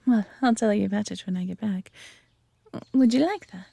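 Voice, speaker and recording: Breathy English elf huntress, acted by a woman. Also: medium pitch, moderate pace, dark tone, dry recording, clean studio sound. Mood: friendly, chatty. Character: friendly adventurous female elf archer and hunter. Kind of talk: scripted roleplay audio drama addressed to the listener.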